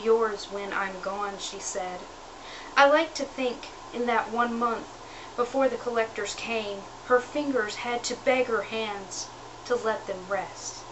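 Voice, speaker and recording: A woman's voice: a little high, slow. A woman reading a poem aloud in a recitation style. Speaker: poetry reader. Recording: clear background noise.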